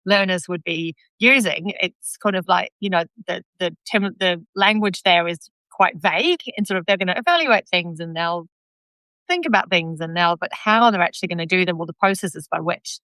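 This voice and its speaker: Bright, clear-voiced woman host. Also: fairly low pitch, normal speed, no echo, poor audio. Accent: New Zealand. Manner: thoughtful, hedging, trailing phrases. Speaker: host of live video. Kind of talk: live online discussion.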